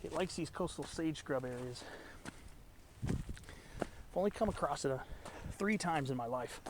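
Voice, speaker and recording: A man's voice: a little high, animated, moderate pace, background noise. A congested young man from Southern California, narrating a hike as a vlogger in a casual, enthusiastic, rambling way, recorded outdoors on a camera as he walks. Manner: enthusiastic, rambling, botanical asides. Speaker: hiking vlogger. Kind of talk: casual walk-and-talk narration. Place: outdoors in a canyon on a trail.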